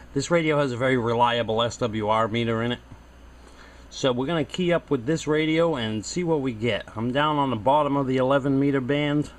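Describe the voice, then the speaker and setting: A man giving a casual hands-on demonstration as a hobbyist host, unscripted and thinking aloud as he goes. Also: mid-range voice. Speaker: hobbyist video host testing radio antennas.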